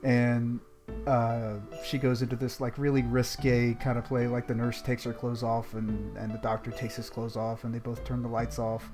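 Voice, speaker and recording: A man's voice: mid-range voice, little pitch movement, normal speed. A man co-hosting a podcast in casual chat, with a dry, understated delivery and guitar music behind him. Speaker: podcast co-host. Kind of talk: casual chat, telling a joke.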